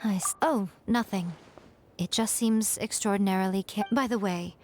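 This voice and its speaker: A woman playing a sly, composed fox shrine maiden in a video game, with smooth and knowing phrasing. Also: mid-range voice, moderate pace, darkish tone, close to an everyday voice. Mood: coy, composed. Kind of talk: scripted video game dialogue. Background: game music.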